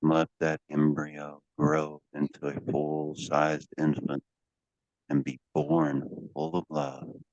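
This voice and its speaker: Super deep guy doing guided hypnosis, slow deliberate pauses, flat tone, dull echoey recording. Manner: deliberate, commanding, with drawn-out pauses. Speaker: hypnotherapy coach leading a live session. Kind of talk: guided hypnosis, prompting phrases to be repeated. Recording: dull, echoey lo-fi room sound.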